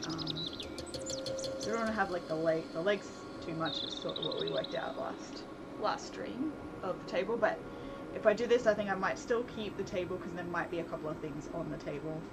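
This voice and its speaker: A woman streamer and game developer chatting casually on a livestream, thinking aloud in a rambling way with soft laughs. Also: on the low side, lively intonation, normal speed. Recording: loud background noise, vehicle sounds.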